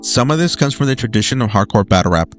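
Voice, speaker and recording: A man's voice: medium pitch, animated, fast talker, soft background music. A man delivering scripted video essay narration as a documentary narrator, with emphatic lists and dramatic short phrases.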